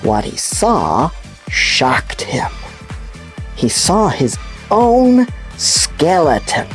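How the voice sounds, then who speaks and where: A woman voicing an enthusiastic puppet news presenter who explains science to children in an animated, emphatic storytelling way. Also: deep voice, extremely slow, faint music behind, full character voice. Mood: intrigued storytelling. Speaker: kids' show host. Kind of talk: scripted children's educational presenting.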